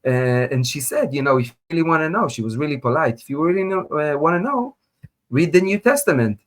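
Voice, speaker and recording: A man from Israel with an Israeli accent, a native Hebrew speaker, tells his personal story as an interview guest over a video call. He speaks in an animated way, with small grammar slips. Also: a little high, brisk. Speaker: guest scholar.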